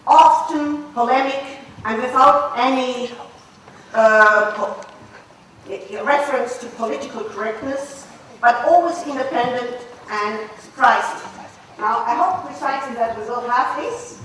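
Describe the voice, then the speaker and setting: A woman organizer giving a formal introduction to a lecture, halting and correcting herself now and then. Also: a little high, fairly bright tone. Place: large lecture hall. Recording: echoey hall, distant mic, faint noise.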